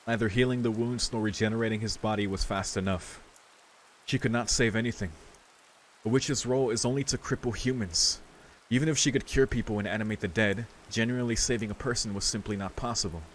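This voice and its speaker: A man's voice: on the low side, fairly even intonation, a little fast, a little hiss or hum, rough recording. A man streaming a visual novel, reading its narration aloud with casual commentary, with rain sounds from the game behind him.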